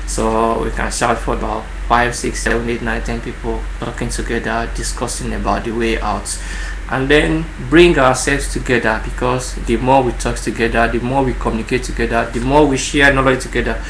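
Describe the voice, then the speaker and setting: A man's voice: medium pitch, fairly even intonation, moderate pace, hardly any pauses, fairly bright tone, echoey room, a little hiss or hum, poor audio. A man with a Nigerian accent hosting his own live online channel, talking casually, often repeating words and dropping in Yoruba phrases. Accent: Nigerian English. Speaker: host of a livestream channel. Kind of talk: casual live stream monologue.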